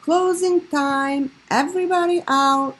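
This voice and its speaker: A woman voicing a brisk, courteous laundromat manager in a children's storybook. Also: sits high, fairly even intonation, pitched above the performer's own voice. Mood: calling out.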